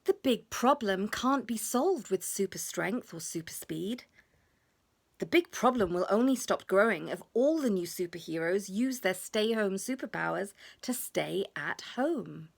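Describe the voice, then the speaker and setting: A woman voicing a gentle, reassuring mother in a children's storybook, soothing and encouraging as she explains simply. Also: medium pitch, lively intonation, a little slow, creaky. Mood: explaining.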